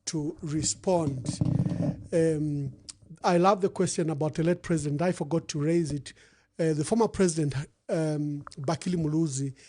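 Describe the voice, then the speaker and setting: A man's voice: fairly high pitch, dark tone. A man hosting a political call-in show in a formal, courteous manner. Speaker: radio call-in show host.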